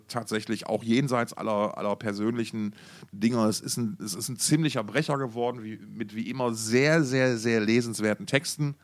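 A middle-aged man co-hosting a podcast, chatting casually about music in a rambling, wordy, jokey way. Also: lowish voice. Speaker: podcast co-host.

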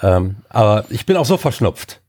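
A man's voice: fairly low pitch, big pitch swings, normal speed, a little mellow. An older man and podcast co-host with a stuffy nose from a cold, ranting emphatically in casual chat with many fillers.

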